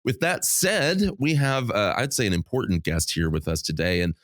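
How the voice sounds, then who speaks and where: A male podcast host, getting over a cold that drops his voice lower than usual, giving an upbeat scripted welcome and interview intro. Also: very animated, normal speed, no room sound, clean studio sound. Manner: upbeat, promotional, warmly welcoming.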